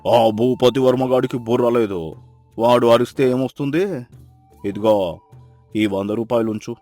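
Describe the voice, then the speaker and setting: A man's voice: mid-range voice, fairly even intonation, normal speed, dark tone, soft background music, natural voice. A man playing a mocking village moneylender with a hidden kind heart in a dramatized moral story, sarcastic, teasing and blunt. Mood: gruffly generous.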